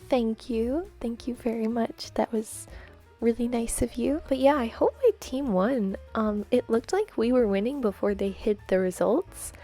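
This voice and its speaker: A young woman chatting and rambling while she draws, soft-spoken and giggly, her voice a little off from a cold. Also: fairly high pitch. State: slightly congested from a cold. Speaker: art vlogger. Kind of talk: chatty voice-over while drawing. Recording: faint background music.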